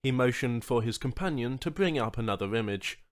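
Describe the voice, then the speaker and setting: A man's voice: mid-range voice, animated, fairly quick. A man narrating a scripted short story as an audiobook reader.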